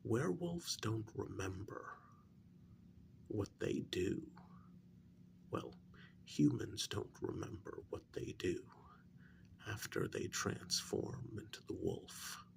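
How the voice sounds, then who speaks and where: Man acting as a creaky, soft-spoken calm researcher. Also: on the low side, a little hiss or hum, heavily acted. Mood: calm, probing. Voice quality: breathy, near-whispered, creaky. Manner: gentle, deliberate, soothing, with pauses. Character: calm clinical male researcher gently interviewing a werewolf patient. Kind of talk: soft-spoken ASMR role-play.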